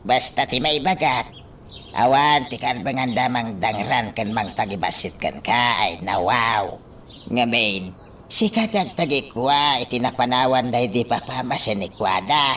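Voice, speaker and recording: An excitable, loyal older uncle in an Ilocano radio drama, played by a man. He has an Ilocano accent, a mid-pitched voice and a habit of sighing exclamations. The sound is a little off-mic with faint background noise. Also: measured pace, recorded from a distance, natural voice. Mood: concerned, urging.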